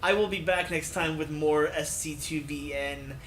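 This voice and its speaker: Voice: medium pitch, fairly even intonation, moderate pace, a little hiss or hum. A let's player narrating a visual novel and commenting casually, recorded in an echoey room. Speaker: video game let's player. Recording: echoey room under loud music. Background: loud instrumental music with guitar.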